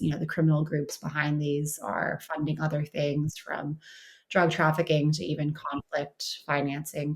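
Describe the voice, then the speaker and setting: A woman speaking as an interviewed expert, explaining conversationally with frequent "you know" and "kind of". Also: deep voice. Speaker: interviewed researcher and writer.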